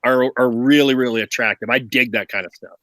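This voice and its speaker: A man's voice: medium pitch, steady tone, a little fast, fairly bright tone, dry recording. A man hosting a casual review chat on a video call, enthusiastic, drawing out his phrases. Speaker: show host, reviewer.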